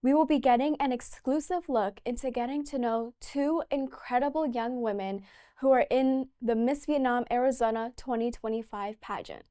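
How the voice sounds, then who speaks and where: A woman's voice: on the high side, normal speed, mellow. A young woman hosting an interview talk show, chatty and casual, peppering her speech with "like". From United States. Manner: chatty, fillers like "like".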